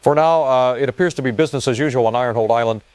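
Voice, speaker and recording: Male field correspondent, played by an actor: matter-of-fact live report, slight hesitations, average pitch. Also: racing, darkish tone, clear, resonant tone, dry recording, natural voice. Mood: calm, matter-of-fact.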